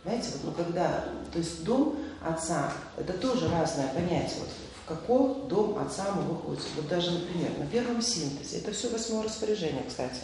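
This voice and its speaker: Gravelly man lecturing in an echoey hall. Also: on the high side, lively intonation, brisk, hardly any pauses. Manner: conversational, hesitant fillers, rhetorical questions. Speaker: spiritual seminar leader. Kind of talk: informal lecture quizzing the audience. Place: large indoor hall. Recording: echoey hall, voice from far off, faint noise.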